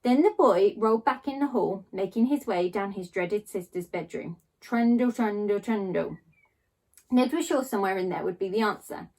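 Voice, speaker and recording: A woman reading a children's story aloud in a theatrical way, with dramatic pauses and drawn-out emphasis on key words. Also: mid-range voice, darkish tone, low-quality recording. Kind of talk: expressive children's storybook narration.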